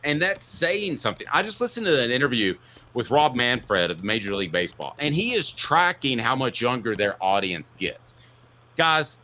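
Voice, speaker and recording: A man's voice: mid-range voice, pushed and bright, a little hiss or hum. A man in his fifties hosting an opinionated sports talk show, telling stories casually in a jokey, mock-indignant way. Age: 50s.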